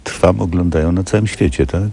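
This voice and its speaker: A man's voice: sits low, a little fast, vocal fry, mellow, husky. A man in his sixties, a guest in a TV studio on a lapel mic, talks hesitantly with many filler sounds. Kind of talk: casual TV talk.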